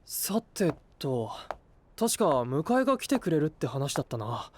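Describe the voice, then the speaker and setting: An actor playing an earnest, composed and modest young knight in an anime-style voice drama, speaking straightforwardly. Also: male voice, fairly high pitch, a little slow, a little hiss or hum. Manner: expressive, straightforward. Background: music.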